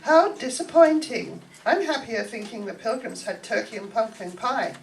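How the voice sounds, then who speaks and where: A woman's voice: a little high, expressive, normal speed. A woman playing a fussy, sentimental aunt with an exclamatory manner in a radio comedy play. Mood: dismayed. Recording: distant stage microphone.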